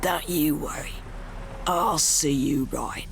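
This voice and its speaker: Woman doing a gravelly, jumpy male gangster. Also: sits low, takes it slowly, slight background noise, exaggerated voice. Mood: menacing. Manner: urgent, blunt, slangy, through clenched teeth. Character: rough, jumpy pockmarked male London gangster.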